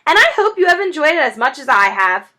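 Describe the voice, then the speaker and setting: A woman's voice: sits high, sing-song, quick pace, pushed and bright, voice pushed up, heavily acted. A woman voicing a proud, cheerful male fox giving a grand, rousing speech in a storybook.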